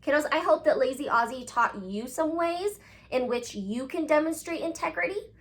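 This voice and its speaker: A woman with a fairly high voice and very lively intonation hosts a read-aloud for kids. She speaks straight to the children in a teacherly way, leaning on key words for emphasis. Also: normal speed. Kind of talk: expressive storybook reading and talk to children.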